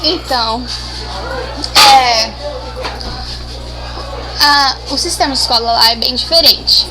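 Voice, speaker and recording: A woman's voice: high-pitched, takes it slowly, over music, rough recording. A seventeen-year-old girl from Brazil, answering interview questions casually, shy and hesitant with many restarts. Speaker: interview guest.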